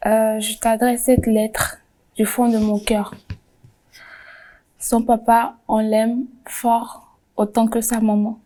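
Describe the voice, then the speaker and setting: A woman's voice: fairly high pitch, little pitch movement, mellow, poor audio. A woman pageant candidate reading a heartfelt letter aloud, solemn and tearful. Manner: slow, solemn, emotional reading.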